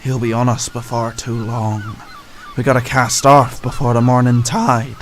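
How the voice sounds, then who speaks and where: A man playing a gruff, bloodthirsty pirate captain with a stage pirate accent, barking orders in a radio play. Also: mid-range voice, little pitch movement, unhurried, slight background noise, lightly acted. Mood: gruff, menacing. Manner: barking orders, archaic sailor talk. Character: gruff, commanding, bloodthirsty old-style pirate sea captain.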